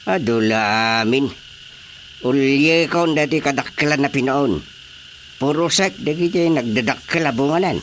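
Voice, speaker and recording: Man playing an excitable Ilocano dad, slow-paced. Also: a little high, a little hiss or hum, lightly acted. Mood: eager. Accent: Filipino, Ilocano. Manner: exclamatory, groaning, animated. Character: excitable grumbling father, addressed as tatang. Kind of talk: comedic radio drama acting.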